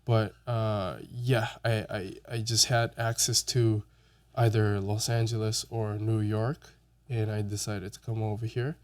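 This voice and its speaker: A man's voice: on the low side, little pitch movement, a little slow. A young man raised in Japan, co-hosting a podcast and speaking reflectively with many fillers. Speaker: podcast co-host. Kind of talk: casual podcast conversation.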